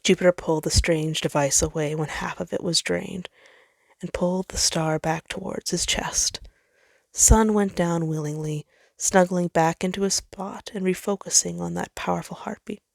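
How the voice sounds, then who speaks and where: A woman's voice: fairly low pitch, fairly even intonation, moderate pace, gravelly, no room sound, clean studio sound. A woman reading a story aloud as narrator, in a dramatic scripted style. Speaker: fan fiction narrator.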